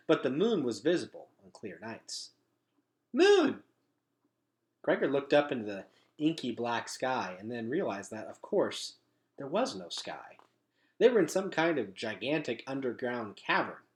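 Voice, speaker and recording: A man reading a children's novel aloud as a storyteller, with expressive, emphatic phrasing. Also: medium pitch, moderate pace. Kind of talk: animated children's book reading.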